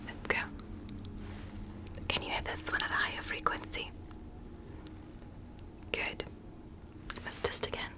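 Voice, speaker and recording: A woman whispering softly and breathily in a gentle, reassuring ASMR roleplay as a doctor giving an exam. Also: takes it slowly, pauses often. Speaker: ASMR performer playing an ear doctor.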